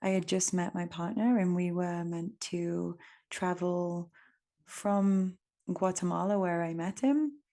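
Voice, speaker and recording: A woman from England with an English accent, hosting a reflective solo podcast in a gentle, unhurried, contemplative way. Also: fairly low pitch. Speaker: podcast host.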